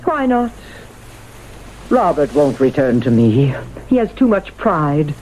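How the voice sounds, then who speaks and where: An older widowed mother from a vintage radio play, proud and wistful. Her mellow voice moves freely up and down with theatrical, genteel dryness. The old broadcast carries faint noise. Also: sits low, sing-song, slow, exaggerated voice, poor audio. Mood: resigned, dry. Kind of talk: radio drama acting.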